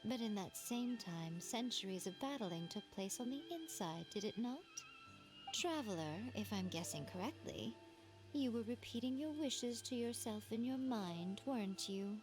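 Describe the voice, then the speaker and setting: A woman's voice: on the high side, animated, moderate pace, music in the background, close to an everyday voice. A woman voicing a sly, teasing shrine priestess in a video game, speaking in a wry, playful way.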